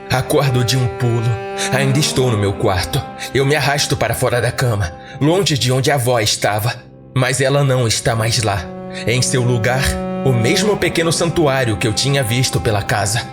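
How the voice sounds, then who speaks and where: A man with a Brazilian accent reading a scripted first-person horror story in a deliberate, dramatic way. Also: mid-range voice. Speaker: horror podcast narrator. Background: music.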